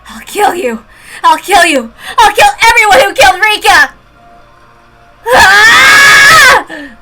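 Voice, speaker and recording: A woman's voice: very high voice, sing-song, moderate pace, over music, pitched above the performer's own voice, poor audio. A woman voicing a grief-stricken teenage girl who shrieks and sobs in a murderous rage. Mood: screaming crying. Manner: shrieking and sobbing.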